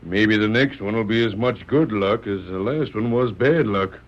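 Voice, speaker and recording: An actor playing a cagey American small-town sheriff with a gravelly voice and folksy talk in a radio Western. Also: male voice, lowish voice, moderate pace, natural voice. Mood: guarded. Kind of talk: old-time radio Western acting. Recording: noisy, dull old radio recording.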